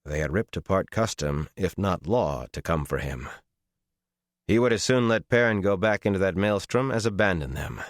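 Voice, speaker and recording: A man with a low voice reads a fantasy story as an audiobook narrator. His delivery is measured and dramatic, and the recording is dry and close. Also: animated, no room sound.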